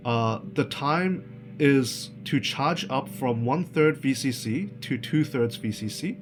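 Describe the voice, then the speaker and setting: Man explaining electronics haltingly over music. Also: medium pitch, lively intonation. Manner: halting, thinking aloud. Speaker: electronics tutorial presenter. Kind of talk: informal technical explanation, unscripted.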